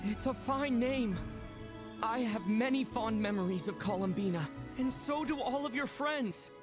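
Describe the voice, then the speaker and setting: A woman's voice: a little high, a little slow, natural voice. A woman voicing an earnest, devoted young traveler in a video game, speaking with sincere, kind hopefulness. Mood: tender. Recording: music clearly behind the voice.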